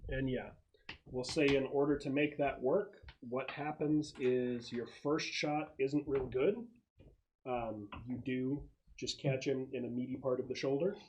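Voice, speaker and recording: A man's voice: mid-range voice, steady tone, measured pace, a little mellow, a little hiss or hum. A man speaking as game master, explaining rules and narrating the action in a conversational way.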